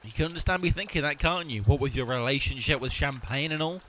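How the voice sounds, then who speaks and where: A man plays a bossy, sarcastic amateur detective in a comic audio drama. His voice sits fairly high and is very bright and forceful. Faint noise runs behind it. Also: fast talker, lightly acted. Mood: exasperated.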